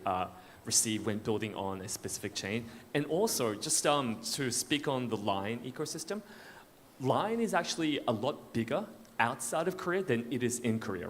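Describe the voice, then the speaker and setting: A man on a conference panel answering an audience question in an informal way. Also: on the low side. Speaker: panelist representing a blockchain foundation. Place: large event space at a conference. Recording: echoey noisy hall, event PA.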